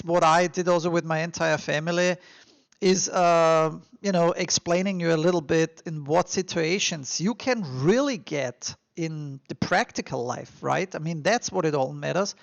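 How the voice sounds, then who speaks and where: A man's voice: high-pitched, unhurried, vocal fry, no echo. A man with an Austrian accent hosting a podcast, giving motivational advice in an emphatic, encouraging, informal way. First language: German. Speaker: podcast and YouTube host. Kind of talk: motivational advice monologue to listeners.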